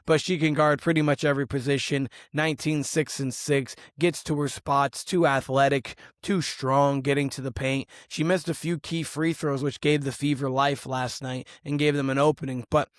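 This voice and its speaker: A hoarse man hosting a solo basketball show, talking through games and stats in a casual, rambling way. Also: medium pitch, flat delivery, normal speed, few pauses, no room sound, studio quality. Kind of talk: solo sports analysis podcast.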